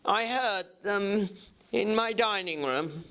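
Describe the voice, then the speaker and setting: Man acting an agitated, high-pitched, feverish gentleman doctor. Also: slow, smooth, heavily acted. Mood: feverish, desperate. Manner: fervent, insistent, faltering. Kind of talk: dramatic audiobook character reading.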